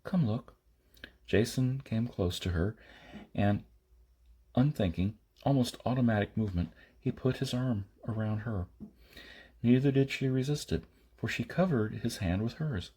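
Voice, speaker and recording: A man reading a science fiction novel aloud as an amateur audiobook narrator, in short phrases with pauses and the odd stumble. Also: mid-range voice, dark tone, husky. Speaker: audiobook reader.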